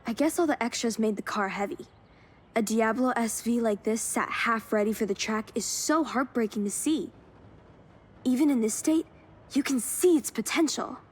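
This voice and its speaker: A woman's voice: on the high side, moderate pace, husky. A woman playing a keen car hunter in a video game, speaking with enthusiasm and admiration. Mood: admiring, friendly. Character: keen young woman car hunter finding lost classics. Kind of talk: scripted video game dialogue.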